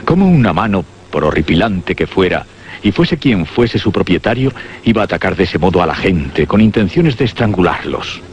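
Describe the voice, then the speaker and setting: Solemn horror radio narrator, low deep male voice, theatrical, muffled old recording. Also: sing-song, moderate pace. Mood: grave suspense. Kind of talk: dramatic suspense narration in horror radio drama. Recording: dull, noisy old radio drama recording.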